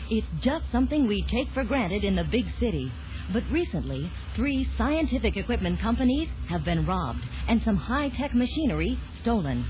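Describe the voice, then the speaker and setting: Actress doing a plucky lady tv reporter, really animated, muffled old drama recording with music behind. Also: mid-range voice, natural voice. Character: plucky, wisecracking female television news reporter. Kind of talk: children's audio drama acting. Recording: dull band-limited old audio drama recording. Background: loud dramatic music.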